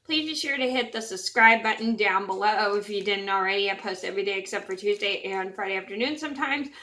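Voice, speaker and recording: Woman, scratchy voice, channel host reading a fairytale; chatty, plain delivery, average pitch. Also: fast talker, pushed and bright. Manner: chatty, apologetic asides. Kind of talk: storybook read-aloud.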